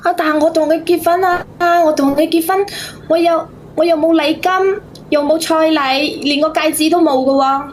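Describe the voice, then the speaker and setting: A woman with a Cantonese accent telling her story to a host as a caller, in a halting, repetitive, plaintive way. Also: extremely high pitch, steady tone, slight background noise. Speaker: phone-in caller. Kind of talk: casual personal storytelling to a host.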